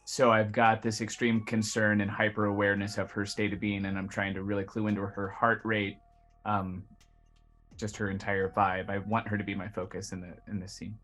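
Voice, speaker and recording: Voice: deep voice, flat delivery, moderate pace, fairly bright tone. A tabletop game player casually explaining what a character senses and intends.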